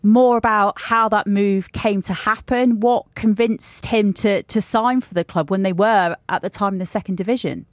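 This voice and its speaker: A woman's voice: mid-range voice, steady tone, moderate pace, few pauses, dry recording, clean studio sound. A woman working as a football reporter, interviewing and reporting for a broadcast.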